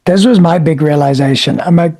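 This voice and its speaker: A man with a husky voice, talking frankly and casually as a guest in a conversation, swearing now and then. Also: on the high side, a little fast, dark tone.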